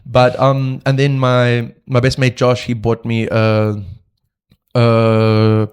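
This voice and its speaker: A young man from South Africa, a podcast guest, talking in a relaxed, reflective way with frequent fillers. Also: medium pitch, slow, clean tone. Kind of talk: casual podcast conversation.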